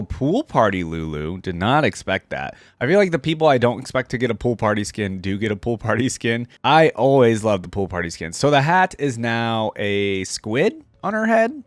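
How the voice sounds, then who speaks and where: A man's voice: fairly low pitch, dry recording. A man giving casual, unscripted reaction commentary, thinking aloud in an informal way as he goes. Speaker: reaction video host.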